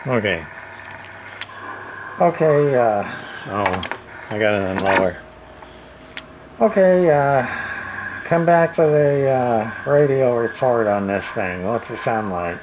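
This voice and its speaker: A folksy, plain-spoken man talks through a hands-on radio demonstration in a casual, unscripted way. His delivery is slow and halting, with a medium pitch. Clear radio noise and hiss sit behind his voice. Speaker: radio repair hobbyist demonstrating a CB radio.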